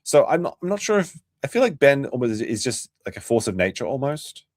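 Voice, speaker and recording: A man's voice: mid-range voice, sing-song, brisk, no room sound, studio quality. A man hosting a late-night livestream, rambling in an offhand way and restarting his sentences. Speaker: streamer host.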